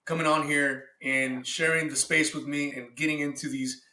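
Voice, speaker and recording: A man hosts a podcast in a relaxed conversational style. His voice is a little higher than average, and the recording has a clear room echo. He speaks informally and appreciatively. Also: moderate pace. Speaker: podcast host, father. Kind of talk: casual podcast chat. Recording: roomy, echoing sound.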